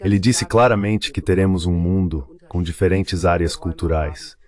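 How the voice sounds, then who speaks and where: Male interpreter, very low voice, measured and explanatory, panel voice-over. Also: fairly even intonation, a little mellow. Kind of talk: translated voice-over of a panel discussion.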